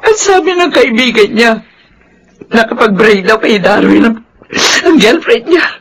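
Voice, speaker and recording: A woman's voice: fairly high pitch, animated, unhurried, husky, heavily acted, low-quality recording. A woman playing an emotional single mother of grown children in a radio drama, with a Filipino accent. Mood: tearful, guilty.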